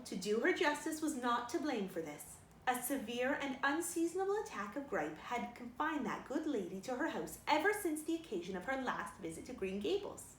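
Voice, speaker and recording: Female storybook narrator, higher-pitched and very lively, recorded in an echoey room. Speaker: storybook reader. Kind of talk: expressive scripted audiobook narration. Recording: roomy, distant mic, faint noise.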